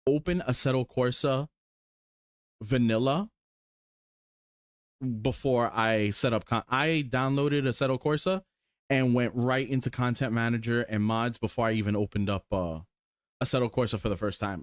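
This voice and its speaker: Man, 40s, casual rambling streamer, excitable, salty language, dry close sound. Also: mid-range voice, normal speed, dry recording. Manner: rambling, excited, swears casually, lots of ums. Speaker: sim racing streamer. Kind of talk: casual chat while showing cars.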